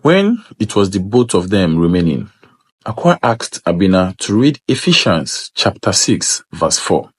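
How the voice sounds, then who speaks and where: A man's voice: deep voice, very animated, a little slow, breathy edge, no echo, clean studio sound. A man narrating a scripted folktale as a storyteller.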